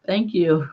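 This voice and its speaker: Slow, low-voiced woman, calm host. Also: breathy edge. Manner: slow, calm, soothing delivery. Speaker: paranormal investigator host. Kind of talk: live-stream ghost hunt chat. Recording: dull lo-fi stream audio.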